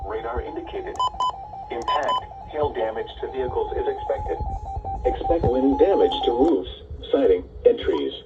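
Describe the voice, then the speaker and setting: A man with a formal, measured, synthetic-sounding delivery reads a scripted emergency weather bulletin over weather radio. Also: mid-range voice, wildly swooping pitch, over music. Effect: weather radio broadcast. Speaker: weather radio warning announcer. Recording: muffled, distant, noisy weather radio capture.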